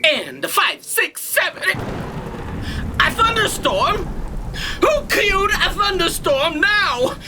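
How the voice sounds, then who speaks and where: Imagine an exasperated female cue-master in a comic audio drama. She is extremely high and bright, stretching her words out with melodramatic indignation. A faint rumble of noise sits behind the voice. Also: full character voice, low-quality recording. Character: exasperated, theatrical woman running the world's cue sheet.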